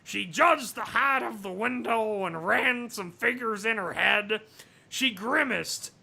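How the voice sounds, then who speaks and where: A man putting on a raspy, strained, grating comic narrator voice to read a story in a mock-dramatic way. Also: high voice, slow, sharp tone, heavily acted. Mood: strained, exaggerated. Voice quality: raspy, gravelly. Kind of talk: mock-dramatic reading of fan fiction.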